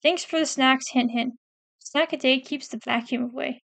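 A woman with a high voice and a gravelly fry chats casually through a ranking, trailing off and restarting as she reads lines aloud. The sound is close and dry, with no room around it. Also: moderate pace, a bit bright, no echo. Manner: chatty, hesitant, self-correcting, reads quotes aloud. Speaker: PNGtuber ranking game characters. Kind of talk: casual commentary while reading a wiki.

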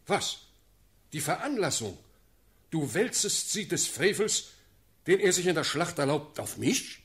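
An actor playing an imperious, quick-tempered German ruler in a classical verse radio play, with declamatory, theatrical speech. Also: male voice, a little high, sing-song, full character voice. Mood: indignant. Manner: declamatory, theatrical, sharp swings in force. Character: imperious, quick-tempered German prince-elector, commanding and sardonic.

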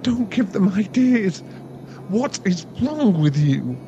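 A man's voice: sits high, very animated, slow, exaggerated voice. A man playing a nervous, grumbling friend in an ASMR audio drama, hesitant and resigned to being bossed around. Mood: indignant. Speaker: voice actor in an audio roleplay. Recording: dull sound, clear cabin noise. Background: vehicle and cabin ambience.

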